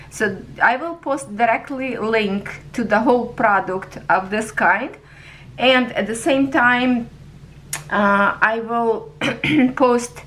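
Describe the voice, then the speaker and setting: A woman's voice: fairly high pitch, fairly bright tone, a little hiss or hum. A woman giving a casual product review on her craft channel, chatty and checking in with viewers, in non-native English phrasing.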